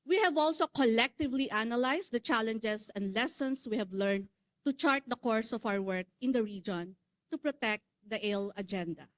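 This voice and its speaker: A woman's voice: fairly high pitch, moderate pace, dry recording. A woman from the Philippines, a regional network's secretary general, giving a formal welcome speech at a conference with some fillers and restarts. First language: Filipino. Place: conference hall.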